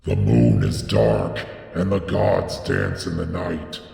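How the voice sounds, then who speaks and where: Man acting a grandiose, loud prophet in echoing hall. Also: a bass voice, sing-song, slow pace, gravelly, soft background music, full character voice. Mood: awed, frenzied. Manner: grandiose, shouting, declaiming. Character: Barzai, arrogant old prophet crying out in mad ecstasy. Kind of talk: dramatic gothic horror storytelling. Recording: echoey hall with long reverb.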